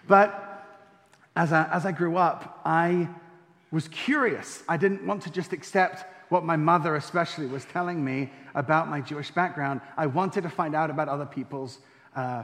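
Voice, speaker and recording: A young man with an English accent recounts his personal story before an audience. His voice sits slightly high, and he keeps it light with wry, self-deprecating jokes and easy hesitations. Long reverb from a large hall trails his words. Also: normal speed, fairly bright tone. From England and France. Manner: wry, self-deprecating humor, frequent ums. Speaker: speaker giving a testimony. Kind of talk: personal faith testimony to an audience.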